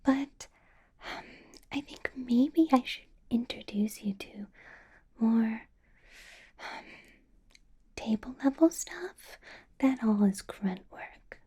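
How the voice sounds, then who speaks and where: A woman playing a possessive, menacing female vampire boss in an ASMR roleplay, speaking in a slow breathy whisper with soft teasing laughs. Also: fairly high pitch, lively intonation, exaggerated voice.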